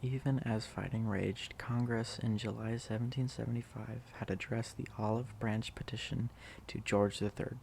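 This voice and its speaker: A man playing an affectionate, sleepy student in an intimate ASMR roleplay, soft, teasing and halting as he dotes on a pet. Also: on the low side, fairly even intonation, gravelly, slight background noise. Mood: cozy, sleepy. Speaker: audio roleplay performer.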